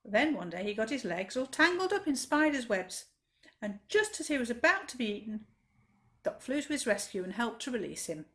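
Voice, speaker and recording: A woman's voice: on the high side, sing-song, moderate pace, fairly bright tone. A woman reading a rhyming children's story expressively, with dramatic emphasis, as a library storyteller.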